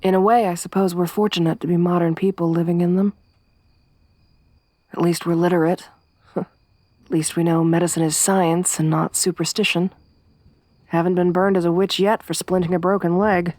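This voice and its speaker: A young woman voicing a tough, tomboyish survivor companion in an ASMR roleplay, speaking softly with a wry, weary warmth. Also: fairly low pitch, little pitch movement, normal speed, long pauses, no echo, lightly acted. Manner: soft-spoken, wry, quietly reflective.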